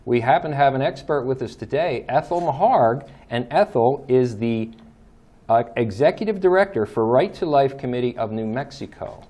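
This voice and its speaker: A man's voice: medium pitch, animated, normal speed, mellow, dull audio. A man hosting a local talk show, easygoing and encouraging with short replies. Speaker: host.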